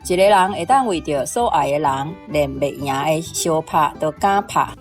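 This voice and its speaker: A woman's voice: deep voice, expressive, normal speed. A woman with a Taiwanese accent, a language teacher reading out a translation. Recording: guitar music behind the voice.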